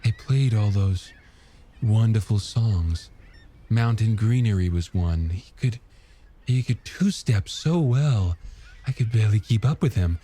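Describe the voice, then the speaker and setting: A man's voice: fairly low pitch, lively intonation, a little slow, warm tone, slightly rough. A man voicing a wistful, nostalgic married woman in an audiobook, reminiscing with some hesitation. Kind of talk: audiobook character dialogue.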